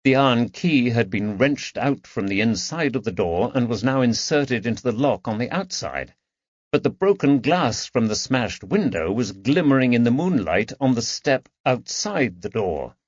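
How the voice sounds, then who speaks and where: A man's voice: mid-range voice, lively intonation, normal speed, no room sound, highs cut off. A man reading scripted mystery fiction as an audiobook narrator.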